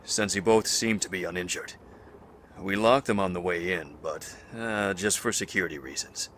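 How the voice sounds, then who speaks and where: Deep, clear-edged calm male leader acted by a man. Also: moderate pace, slight background noise. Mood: concerned, measured. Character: calm, authoritative male doctor leading zombie-apocalypse survivors. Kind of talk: fan audio drama, anime parody.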